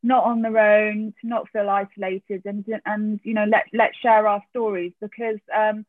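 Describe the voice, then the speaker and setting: A woman's voice: mid-range voice, level tone, normal speed, no room sound. A woman hosting a podcast interview, encouraging and chatty, with hesitant fillers. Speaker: podcast host. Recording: tinny phone-like line, dry.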